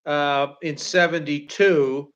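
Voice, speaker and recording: A man's voice: on the high side, steady tone, takes it slowly, fairly bright tone, smooth, highs cut off. Rambling older Canadian man. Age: 60s. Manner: rambling, many fillers and restarts. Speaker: livestream co-host. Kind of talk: casual chat.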